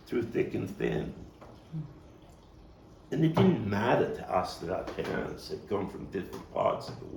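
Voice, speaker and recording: Stage monologue role: male actor playing reflective Brooklyn street kid grown up, gravelly, pausing dramatically, heard from a distant mic. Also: low-pitched, full character voice. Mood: nostalgic, somber. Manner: halting, reflective, dramatic pauses. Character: street-wise, bookish Brooklyn man recalling a hard childhood. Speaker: actor-playwright performing his monologue. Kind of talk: theatrical monologue at a table read. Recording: roomy, distant mic with faint noise.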